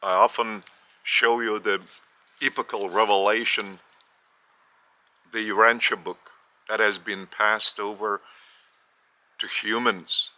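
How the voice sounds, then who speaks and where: Slow, bright-toned Lithuanian-accented man. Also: fairly low pitch, level tone. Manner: measured, with repetitions and careful restarts. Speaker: spiritual teacher. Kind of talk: slow spoken teaching.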